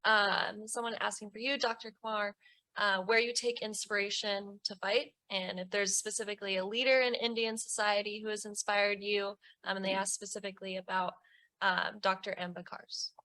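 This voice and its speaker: A woman with a bright, forceful tone reads out questions sent by online viewers. She delivers them clearly, with small pauses. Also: medium pitch, flat delivery, low-quality recording. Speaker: moderator relaying online questions.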